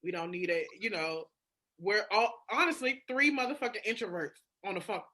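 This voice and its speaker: A woman's voice: mid-range voice, normal speed, pushed and bright. A woman chatting casually and candidly, emphatic and swearing freely, often repeating phrases for emphasis. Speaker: podcast co-host. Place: phone call.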